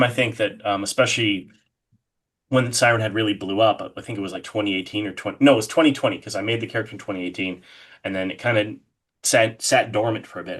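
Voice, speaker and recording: Low-voiced man chatting casually. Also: a bit bright. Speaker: guest, horror artist being interviewed. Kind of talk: casual interview chat.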